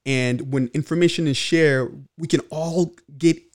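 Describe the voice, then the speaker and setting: A man's voice: a little high, fairly even intonation, unhurried, darkish tone. Earnest man hosting a podcast. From Congo. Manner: earnest, frequent fillers and restarts. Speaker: podcast host. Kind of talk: casual podcast monologue.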